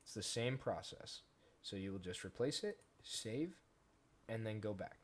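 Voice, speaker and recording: A man casually talking through a software tutorial step by step, in an informal way. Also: on the low side, animated, unhurried. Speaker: tutorial host, gaming content creator. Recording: noisy home recording with keyboard clicks.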